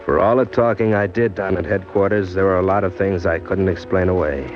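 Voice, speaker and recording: A man's voice: deep voice, fairly even intonation, fairly quick, natural voice. An American actor playing a condemned small-time crook in a 1940s radio crime drama, telling his story in hard-boiled slang with bitter, wry resignation. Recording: dull old radio recording, loud music behind.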